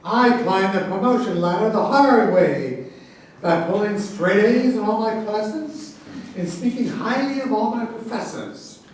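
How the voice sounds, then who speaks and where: Guy acting touchy self-important army general in a stage satire, highish voice, super echoey distant recording. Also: lively intonation, measured pace, a little hiss or hum. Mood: accusing. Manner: pompous, argumentative. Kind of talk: staged reading of a satirical play. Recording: very echoey room, distant mic.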